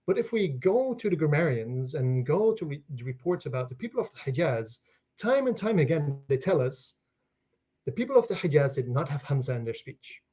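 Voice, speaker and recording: Higher-voiced Dutch man, academic explainer. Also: big pitch swings, fairly quick, warm tone, breathy edge. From Netherlands. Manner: restarts phrases, checks with "right?". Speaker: guest scholar.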